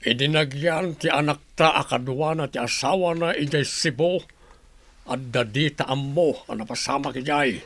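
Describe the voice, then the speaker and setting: A man's voice: fairly high pitch, moderate pace, a bit bright. A man acting a bossy, scornful employer in a Filipino radio drama, with a brusque, demanding manner. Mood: serious.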